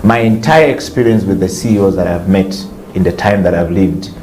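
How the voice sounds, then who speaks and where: A male host, welcoming a guest to his studio interview show in a measured, reflective way. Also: sits low, darkish tone, roomy sound, slight background noise.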